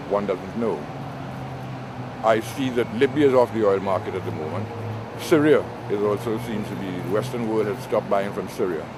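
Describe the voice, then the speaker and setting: Older Trinidadian man, measured commentary. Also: fairly low pitch, background noise. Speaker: interview guest. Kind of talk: political commentary in an interview.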